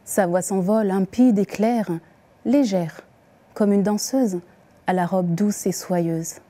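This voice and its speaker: A woman reciting poems as the poet herself, with deliberate, expressive phrasing and pauses. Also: mid-range voice. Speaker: poet reading her own poems. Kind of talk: poetry recitation.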